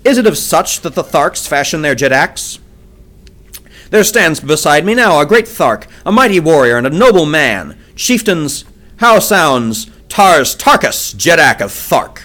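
A bold hero rouses a council of warriors with a passionate speech, as a man reading an audiobook puts him on. The voice sits raised and loud above the narration, declaiming with force. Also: a little high, very animated, unhurried, voice pushed up, exaggerated voice. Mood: rousing. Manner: impassioned, rousing oratory.

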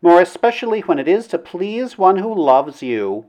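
Guy doing a high cooing princess for an audiobook, swoony and condescending, very swoopy. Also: big pitch swings, normal speed, darkish tone. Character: amorous, coaxing princess courting a shy man. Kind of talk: audiobook character dialogue.

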